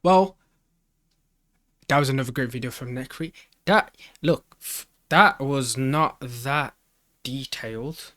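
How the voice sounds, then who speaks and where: A man's voice: medium pitch, takes it slowly, long pauses, a bit bright, dry recording, clean studio sound. A man hosting a reaction video, thinking aloud in a casual, slangy way and often repeating his phrases as he rambles.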